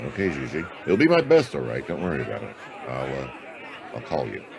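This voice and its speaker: A hard-boiled private eye in a noir radio drama. The voice is extremely low and gravelly, wry and laconic, with busy crowd chatter behind it. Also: male voice, wildly swooping pitch, fairly quick, heavily acted. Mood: businesslike. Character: hard-boiled world-weary male private detective.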